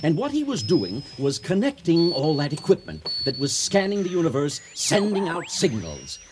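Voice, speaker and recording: Grandly folksy man orator, lively pitch. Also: normal speed, background noise. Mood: folksy explaining. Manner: grandiloquent, theatrical delivery. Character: pompous, folksy ceremonial orator of a spacefaring future. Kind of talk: comic speech in a radio drama.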